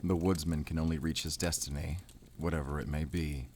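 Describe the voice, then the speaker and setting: Grim, determined werewolf hunter, low-pitched, brooding and terse, measured audio drama delivery. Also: male voice, fairly even intonation, a little mellow, a little hiss or hum. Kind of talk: cinematic audio drama acting.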